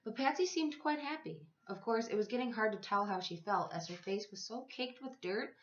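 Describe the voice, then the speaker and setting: A woman reading a story aloud to young children like a teacher, with expressive, deliberate phrasing. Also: a little high. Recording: echoey room, slightly dull sound.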